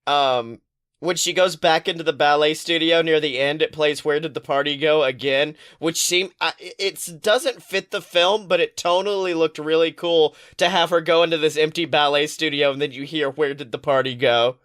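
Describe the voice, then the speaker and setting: A man with a somewhat high-pitched voice talks as a podcast co-host. He chats casually about pop culture, rambling through stories in an animated way and swearing freely. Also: normal speed. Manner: animated, profane, rambling storytelling.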